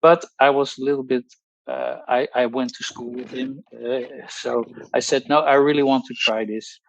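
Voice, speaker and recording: A Dutch man in his sixties, speaking English as a second language, tells his own story as a guest on a video call. He talks with frequent pauses and restarts and uses technical wording. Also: mid-range voice, fairly even intonation, slight background noise, poor audio. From Netherlands. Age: 60s. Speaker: guest, pharmacologist. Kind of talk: personal testimony on a group call.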